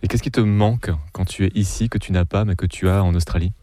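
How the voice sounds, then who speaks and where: A male interviewer speaks in a calm, measured, reflective way, with some hesitations. His voice is low and mellow. It is recorded dry and close. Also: no room sound. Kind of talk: interview questions.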